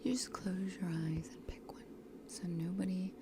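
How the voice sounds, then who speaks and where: A woman whispering close to the microphone in an ASMR teacher role-play, gently repeating words and encouraging her class with patience. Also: lowish voice, little pitch movement, background noise. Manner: slow, gentle repetition of trigger words. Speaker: ASMR host playing a teacher. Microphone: close sensitive stereo microphone.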